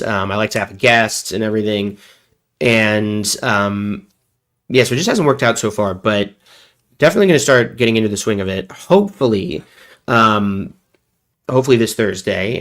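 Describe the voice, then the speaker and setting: Low-voiced sick male streamer. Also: fairly bright tone. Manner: rambling, joking, self-deprecating. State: sick with a cold. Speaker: livestream host. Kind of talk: casual livestream chat.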